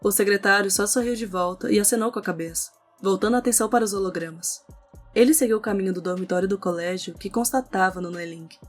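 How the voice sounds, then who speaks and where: A woman's voice: mid-range voice, fast talker. A woman with a Brazilian accent reading scripted narration for an audio novel. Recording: music under the voice.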